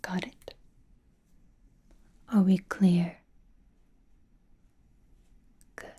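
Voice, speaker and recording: Woman acting breathy, whispering flirtatious vampire. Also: on the low side, warm tone. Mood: fond, instructing. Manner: teasing, dryly exasperated, intimate. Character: possessive, flirtatious vampire doting on captive vampire hunter. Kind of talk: whispered ASMR roleplay.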